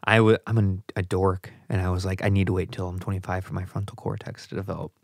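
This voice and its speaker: A man in his thirties with a very low voice hosts a podcast, chatting and teasing his guest. He speaks into a desk mic in a small studio. The sound is close and dry. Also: level tone, quick pace, vocal fry, dry recording. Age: 30s. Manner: chatty, teasing, laughs easily. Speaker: podcast host. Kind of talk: casual podcast interview. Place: small studio with colored lights and a table. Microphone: studio mic on a desk stand, headphones.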